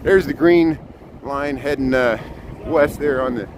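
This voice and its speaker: A man with a loud, carrying voice, chatting his way along city streets. He points things out as he walks, relaxed and unscripted, at a mid pitch. Traffic noise sits clearly under his voice. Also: unhurried. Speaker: live streamer; urban planner. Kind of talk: walking tour live stream.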